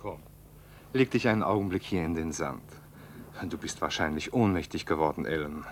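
A gruff, practical family man in a radio drama. His voice is deep and matter-of-fact, with faint noise and boat ambience behind it. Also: moderate pace. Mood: concerned, practical. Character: John, practical, gruff husband and family father. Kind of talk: German radio play acting.

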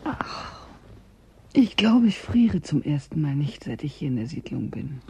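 Earnest, mellow-voiced young assistant. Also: female voice, low-pitched, sing-song, measured pace, slightly rough, heavily acted. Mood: drowsy, teasing. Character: female climatology student, new assistant, earnest and gently ironic. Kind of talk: German radio drama acting, naturalistic dialogue.

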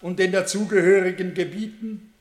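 An actor playing a solemn dignitary who makes ceremonial proclamations in a declamatory German radio play style. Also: male voice, high-pitched, fairly even intonation, normal speed, close to an everyday voice. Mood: solemn, exalted. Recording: reverberant echoey hall.

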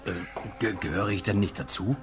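An actor playing a blunt, earthy manservant and gardener in a German radio play, with a folksy, mocking manner. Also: male voice, fairly low pitch, a little fast, natural voice.

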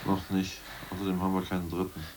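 A man playing a crude, scheming ousted party functionary in a radio play, boastful and plotting, muttering one moment and loud the next. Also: low-pitched, monotone, quick pace, recorded from a distance, slight background noise, lightly acted, poor audio. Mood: conspiratorial. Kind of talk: 1980s radio play acting.

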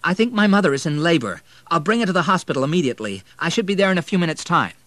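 A man's voice: medium pitch, huge pitch swings, normal speed, no echo, pitched above the performer's own voice. A man voicing an earnest, anxious teenage son in an audiobook, urgent and respectful. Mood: polite, worried. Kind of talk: audiobook character voice.

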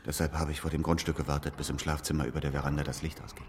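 An actor playing a polite, theatrical clairvoyant with a halting, dramatic delivery in a German radio drama. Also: male voice, extremely low pitch, little pitch movement, a little hiss or hum. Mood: polite, measured.

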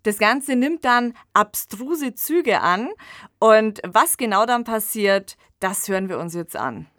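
A woman's voice: on the high side, animated, slow, a bit bright, dry recording, studio quality. A woman hosting a podcast, casually introducing a radio play in an explanatory, conversational way. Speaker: podcast host.

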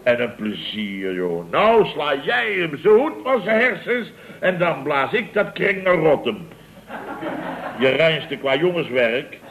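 A man's voice: fairly high pitch, big pitch swings, takes it slowly, vocal fry, a bit bright, slight background noise, exaggerated voice. A man playing a blustering, indignant boss of a building firm in a radio comedy, with pompous, theatrical storytelling. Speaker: lead actor in radio comedy serial. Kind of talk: 1970s Dutch radio comedy acting. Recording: vintage radio broadcast recording.

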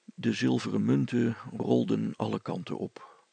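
A man's voice: lowish voice, steady tone, a little slow, creaky, mellow, slightly rough, no room sound. A man reading a children's story as its narrator, in scripted storytelling style.

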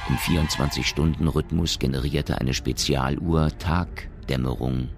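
A man narrating a science fiction radio drama in a grave, gravelly voice. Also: very deep voice, fairly even intonation, a little slow. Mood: measured, grave. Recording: music behind the voice.

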